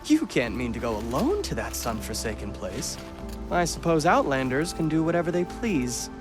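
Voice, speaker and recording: An actor playing a pampered, excitable teenage noble boy who longs to hunt, in a video game cutscene. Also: moderate pace, over music. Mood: boastful. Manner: posh, excitable, lively pitch swings.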